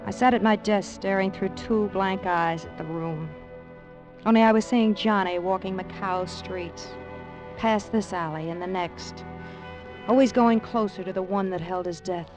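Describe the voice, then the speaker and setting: A woman's voice: mid-range voice, unhurried, close to an everyday voice. An actress playing a bitter, commanding female crime boss in a 1940s radio drama, with curt, sardonic delivery. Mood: brooding. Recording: old radio broadcast with music underneath.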